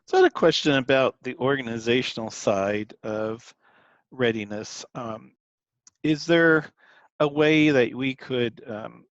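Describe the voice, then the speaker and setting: A man's voice: mid-range voice, measured pace, no room sound. A man hosting a webinar, asking polite, encouraging questions. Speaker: webinar host. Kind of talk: webinar discussion. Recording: dry, dull lo-fi webinar audio.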